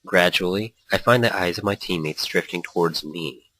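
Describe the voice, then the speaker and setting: Deep, husky-voiced man narrating a let's play. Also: moderate pace, bright, forceful tone, no room sound, low-quality recording. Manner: playful, rambling, stumbles over read lines. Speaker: let's play host reading a visual novel aloud. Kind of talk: gaming let's play, reading story text with casual asides.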